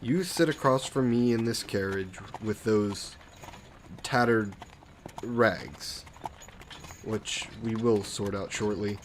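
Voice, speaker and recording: A man's voice: fairly low pitch, slow, long pauses, a little hiss or hum. A man playing an aristocratic vampire lord in an audio roleplay, suave, smug and condescending, with a genteel, coaxing, possessive manner. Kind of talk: scripted roleplay audio drama monologue.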